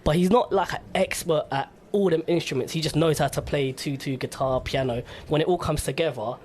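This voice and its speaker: A young man hosts a relaxed radio chat in a slightly high voice. He rambles in a friendly way, stacking "like" and "yeah" as he goes. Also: fairly quick, a little hiss or hum. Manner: relaxed, chatty, lots of "like" and "yeah". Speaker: radio host. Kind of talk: casual radio interview chat.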